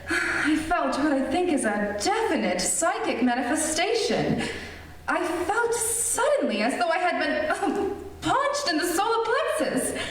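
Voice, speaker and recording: In a very echoey space, a little far from the mic, a woman plays a self-important psychic doctor in an old radio horror play. She has a high voice and speaks in formal, deliberate, portentous phrases. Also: exaggerated voice. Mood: breathless, ominous. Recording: echoey distant stage recording, faint noise.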